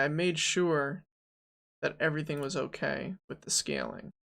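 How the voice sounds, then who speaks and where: Gravelly-voiced twenty-something game dev streamer. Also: mid-range voice, a bit bright, a little hiss or hum. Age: 20s. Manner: joking, rambling, self-talk with fillers. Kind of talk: casual live dev stream, thinking aloud.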